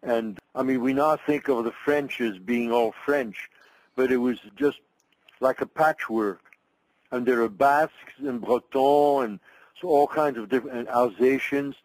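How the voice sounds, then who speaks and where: An older man from Belgium, a migration researcher, answering interview questions in a thoughtful, halting way with many restarts. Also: mid-range voice, dry recording, low-quality recording.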